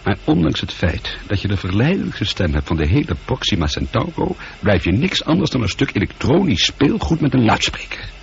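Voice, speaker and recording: A sardonic space pilot in his forties, with a lowish, gravelly voice that swings widely in pitch. He grumbles and teases in moody radio drama style. The recording is old, dull and noisy. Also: sing-song, fairly quick. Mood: irritated, taunting. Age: 40s. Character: bored, irritable, teasing 42-year-old space colonel and pilot.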